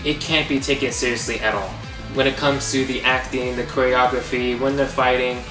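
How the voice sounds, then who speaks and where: A young man hosting a comedic movie review, sarcastic and exasperated. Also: mid-range voice. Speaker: host. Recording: echoey, distant, under music.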